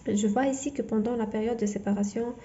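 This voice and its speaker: A woman whose first language is not French, giving a tarot reading in a halting way full of fillers and restarts. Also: a little high, steady tone, warm tone, highs cut off, slight background noise. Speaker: tarot reader.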